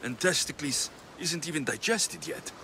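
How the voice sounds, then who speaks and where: A man's voice: on the high side, moderate pace, vocal fry, bright, forceful tone, a little hiss or hum. A man playing a confident, dry-witted Greek mercenary warrior in a video game, speaking in a blunt, wry way. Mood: wry, reluctant. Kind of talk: video game cutscene acting.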